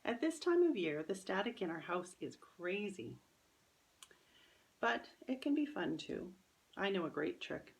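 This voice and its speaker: A woman's voice: mid-range voice, sing-song, a little slow, vocal fry. A woman reading a children's story aloud as its author, in scripted first-person narration.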